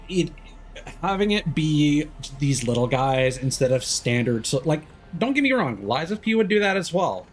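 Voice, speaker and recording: A man doing casual live commentary as a video game streamer, thinking aloud with hesitant pauses mid-sentence. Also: mid-range voice.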